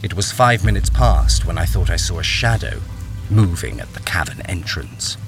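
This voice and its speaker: A man's voice: sits low, expressive, normal speed. An actor playing a haunted miner, narrating his ordeal in a brooding, suspenseful radio-drama style. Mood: grim, tense. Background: music.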